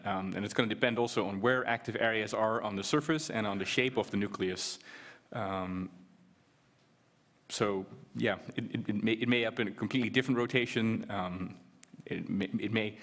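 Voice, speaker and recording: A man with a fairly low voice answers a reporter's question, stammering and repeating words as he goes. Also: fairly bright tone. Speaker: panelist scientist.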